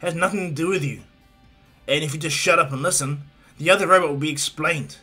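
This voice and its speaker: A hot-tempered teenage rival boy, voiced by a man, barks combatively over music. He is gruff and brash, with a husky, unclear edge, in an anime story retelling. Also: fairly high pitch, fairly even intonation, fast talker, sharp tone, full character voice. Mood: angry, defiant.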